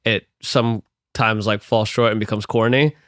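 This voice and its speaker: A man hosts a relaxed interview, thinking aloud and ending his points with "right?". His voice is low and flat, with little rise or fall. The sound is close and dry. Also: moderate pace, no room sound. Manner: reflective, keeps tagging "right?". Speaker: podcast host. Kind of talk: casual interview conversation.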